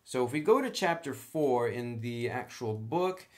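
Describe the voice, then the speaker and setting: A man's voice: mid-range voice, lively intonation, a little slow. A man hosting a tabletop game tutorial, explaining casually and thinking aloud as he goes. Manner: thinks aloud while writing on a sheet. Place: indoors at a table.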